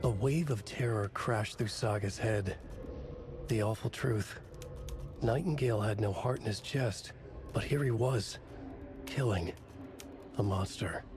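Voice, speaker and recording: A man playing a brooding writer who reads his dark horror manuscript aloud in a grave, deliberate way. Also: on the low side, over music, natural voice. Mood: grave, ominous. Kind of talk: scripted literary horror narration.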